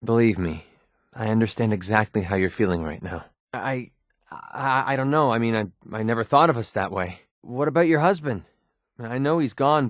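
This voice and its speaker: A man's voice: medium pitch, dry recording, studio quality, close to an everyday voice. A man voicing a young, wisecracking mercenary companion in a video game, with an American accent and an informal, sardonic delivery. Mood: sincere, hesitant.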